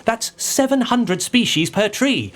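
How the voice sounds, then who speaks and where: Prickly insect boffin, fervent, pedantic, higher-than-average pitch, highly animated, audio drama. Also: male voice, measured pace. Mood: outraged. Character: earnest, prickly male insect scientist held prisoner. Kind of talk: comedic audio drama acting.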